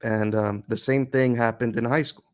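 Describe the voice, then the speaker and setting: A man who teaches English, speaking as an interview guest in a thoughtful, halting way with frequent restarts. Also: medium pitch, fairly even intonation. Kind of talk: reflective interview conversation.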